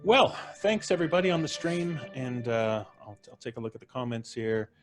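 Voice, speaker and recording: A man's voice: medium pitch, brisk, over music. A man hosting a live podcast stream, chatting casually and thanking his guests as he wraps up. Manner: relaxed, chuckling, thanking guests. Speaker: podcast host.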